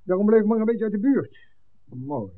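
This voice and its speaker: A man's voice: high voice, very animated, brisk. Tinny-sounding, level-headed practical man. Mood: brisk, practical. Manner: matter-of-fact, reasoning. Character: level-headed, practical ally planning a rescue. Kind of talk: 1960s radio drama acting. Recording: tinny, band-limited old radio recording.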